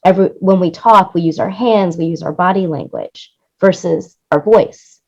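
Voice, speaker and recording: Casting: female interview guest, lower register, conversational and demonstrative, slightly dull low-fidelity sound. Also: normal speed, vocal fry. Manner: animated explaining, acts out quoted lines, seeks agreement with "right?". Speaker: interview guest, music supervisor and audio producer.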